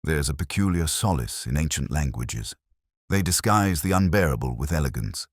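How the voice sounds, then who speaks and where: A man narrating a scripted detective story as a brooding police inspector, in a measured, solemn, literary way. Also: extremely low pitch, lively intonation, dry recording, clean studio sound.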